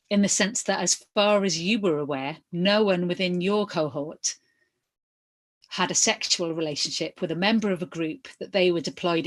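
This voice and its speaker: A woman reading the part of an inquiry lawyer, putting formal questions in a measured, methodical way. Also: on the low side, natural voice. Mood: measured, probing. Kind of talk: verbatim reading of hearing questions.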